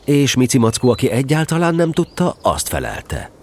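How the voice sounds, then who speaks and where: A man's voice: medium pitch, very animated, a little mellow. A man narrating a children's story in a scripted audio drama style.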